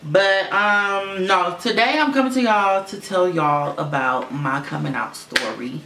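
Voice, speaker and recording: A man's voice: measured pace, pushed and bright. A young man rambling casually through a storytime vlog, with lots of fillers and swearing; he says his voice sounds like a girl's. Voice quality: voice he calls low for a boy, sounding like a girl's. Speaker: vlogger. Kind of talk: casual storytime monologue to camera. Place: room at home. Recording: roomy echo with faint filter hum. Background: fish tank filter hum.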